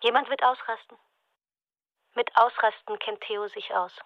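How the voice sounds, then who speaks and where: Casting: mocking diarist, higher-pitched woman, terse clipped notes into a dictaphone, radio drama. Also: fairly even intonation, unhurried, no echo, muffled recording. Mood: sardonic. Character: sardonic, observant woman dictating a secret audio diary.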